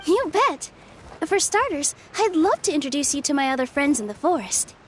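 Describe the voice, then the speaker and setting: A woman playing a sweet, gentle, friendly fairy-tale forest youngster in a video game, with an encouraging manner. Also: high voice, very animated, moderate pace, a little hiss or hum. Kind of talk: video game character acting.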